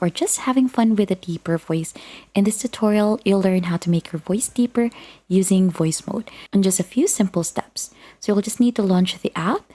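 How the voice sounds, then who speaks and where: A woman narrates a how-to tutorial as its presenter. Her voice sits at an ordinary female pitch with a mellow, relaxed tone. She talks through each step in an instructional way. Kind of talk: instructional screen-recording narration.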